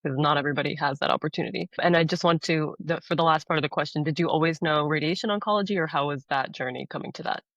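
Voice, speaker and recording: Fast-talking woman, dull lo-fi sound. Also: low-pitched, a bit bright, dry recording. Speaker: interviewer. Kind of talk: interview questions.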